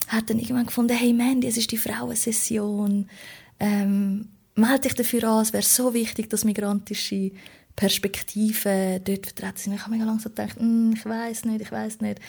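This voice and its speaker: A woman's voice: a little high, level tone, normal speed, gravelly, darkish tone, slightly rough. A woman with a Swiss German accent giving an informal, reflective personal account as an interview guest, searching for her words. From Switzerland. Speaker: podcast guest, political candidate.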